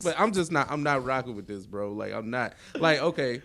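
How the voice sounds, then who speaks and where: A man's voice: medium pitch, lively intonation, brisk, vocal fry, fairly bright tone. An American man co-hosting a podcast in casual banter, slangy and emphatic, repeating phrases for effect. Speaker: podcast co-host.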